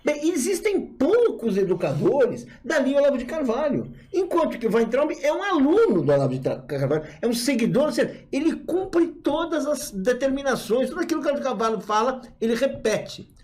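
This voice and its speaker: A man's voice: extremely high pitch, sing-song, fairly quick, few pauses, darkish tone. A man giving political commentary, speaking analytically and laughing lightly as he makes his points.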